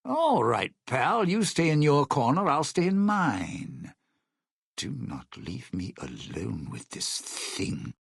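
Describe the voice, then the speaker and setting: An actor playing a smug, suspicious old lounge singer and thief in a video game, with a raspy, gravelly voice and a sardonic showman's delivery. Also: mid-range voice, huge pitch swings, slow pace, no echo, clean studio sound, full character voice. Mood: wary, mocking. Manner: sardonic, slangy showman's patter. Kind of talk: in-game character dialogue and epilogue narration.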